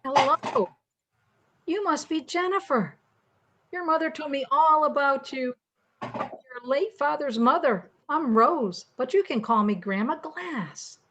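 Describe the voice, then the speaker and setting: A woman's voice: high voice, slow, fairly bright tone, a little hiss or hum. An older woman playing a gracious, chatty grandmother in an old-time radio soap.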